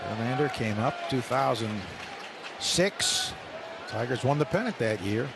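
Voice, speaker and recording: A man doing radio play-by-play of a baseball game, rising sharply in pitch when calling live action. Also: animated, unhurried, gravelly, crowd noise. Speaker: play-by-play announcer. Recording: radio broadcast with loud ballpark noise.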